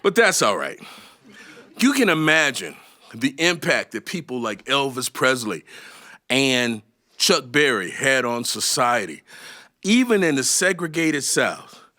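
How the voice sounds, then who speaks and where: A man performing a musical story live, animated and emphatic, with dramatic pauses. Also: medium pitch. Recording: guitar music behind the voice. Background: acoustic guitar playing.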